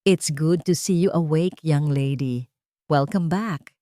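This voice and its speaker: Woman as kind doctor, gentle, measured, lowish voice, dry audiobook sound. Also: no room sound. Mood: reassuring. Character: kind, reassuring male doctor.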